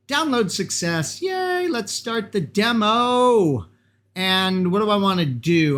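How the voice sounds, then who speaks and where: A man with a Massachusetts accent, chatting enthusiastically while he walks through practice exam software and reads the questions aloud. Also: a little high. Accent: Boston-area Massachusetts. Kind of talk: casual screen-recorded software walkthrough.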